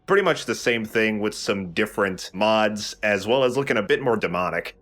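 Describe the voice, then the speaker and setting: A man's voice: on the low side, expressive, moderate pace, a bit bright. A man giving casual commentary over video game footage as a let's play commentator.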